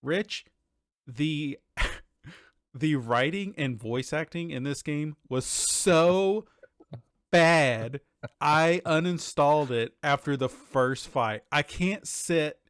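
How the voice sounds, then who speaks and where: A man chats casually as a podcast co-host, taking his time with an unhurried delivery. The recording is close and dry, and his manner is joking, loose and sweary. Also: on the high side, fairly bright tone, clear, resonant tone, no echo. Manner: joking, sweary, laughs easily. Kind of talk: casual banter about video games.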